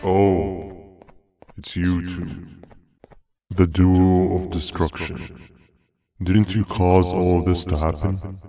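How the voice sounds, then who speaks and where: An actor playing a weary, cynical jellyfish monster in a video game, sounding resigned. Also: male voice, sits low. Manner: slow, flat, resigned. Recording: distant, muffled, faint music behind.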